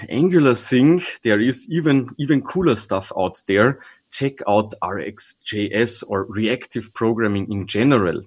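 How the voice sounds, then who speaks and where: Brief: man, lowish husky voice, easygoing guest who thinks out loud. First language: German. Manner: relaxed, thinking aloud with restarts and small laughs. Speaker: guest, trainer and consultant. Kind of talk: casual podcast interview.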